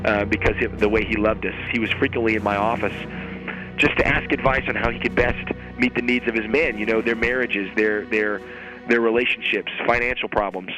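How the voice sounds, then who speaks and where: A male military officer recounting events first-hand in a measured, halting manner. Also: lowish voice, fairly even intonation, bright, forceful tone, muffled recording, soft background music.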